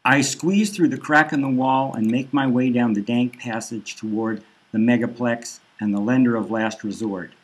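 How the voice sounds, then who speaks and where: A man reading his own dark satirical novel aloud in a dry, deadpan first-person voice. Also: mid-range voice, moderate pace, hardly any pauses, husky, poor audio. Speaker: author narrating his novel. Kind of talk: dark satirical audiobook narration.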